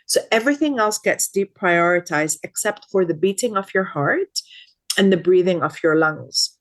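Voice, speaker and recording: A woman's voice: on the low side, expressive, moderate pace. A woman in her fifties from Lebanon, a guest expert in a podcast interview, speaking earnestly and repeating words for emphasis.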